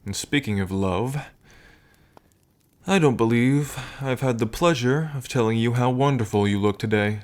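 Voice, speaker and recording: A man playing a smitten, teasing dragon king in an intimate ASMR roleplay, with unhurried, murmuring pauses. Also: mid-range voice, lightly acted. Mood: tender, teasing. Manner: unhurried, murmuring pauses, hums and soft chuckles.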